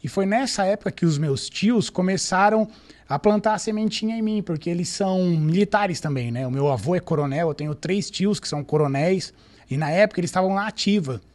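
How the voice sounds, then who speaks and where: A man in his thirties with a São Paulo accent, a police captain calmly answering interview questions about his career. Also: high-pitched. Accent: Paulistano Brazilian Portuguese. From São Paulo, Brazil. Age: 30s. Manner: calm, measured, storytelling. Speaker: military police captain, guest.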